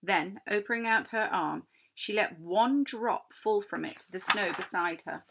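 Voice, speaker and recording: A woman's voice: mid-range voice, measured pace, gravelly, background noise. A woman narrating a children's story expressively, as a storybook reader.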